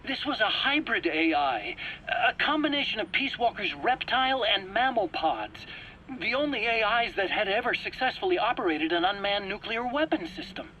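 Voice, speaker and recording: A man's voice: high-pitched, expressive, normal speed, sharp tone, lo-fi sound, slight background noise. An actor playing a nerdy, defensive male engineer under interrogation in a video game, explaining himself hesitantly. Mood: earnest explaining. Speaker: weapons scientist. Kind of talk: video game cutscene acting.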